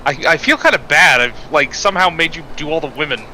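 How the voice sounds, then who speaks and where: A man's voice: fairly high pitch, lively intonation, fast talker, bright, forceful tone, slight background noise, rough recording. A man chatting casually while streaming a game, laughing often and reading chat comments aloud. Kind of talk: casual let's-play chat.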